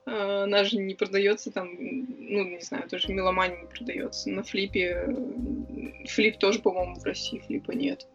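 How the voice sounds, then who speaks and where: A woman's voice: medium pitch, fairly even intonation, moderate pace, dull audio, faint music behind. A young woman, a writer and club organizer, talking casually as an interview guest, chatty and full of hesitation sounds. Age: young adult.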